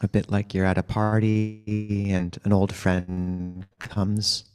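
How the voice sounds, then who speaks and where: A man leading a guided meditation as a teacher, giving gentle, unhurried instructions with long pauses. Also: lowish voice, monotone, clear, resonant tone, faint music behind.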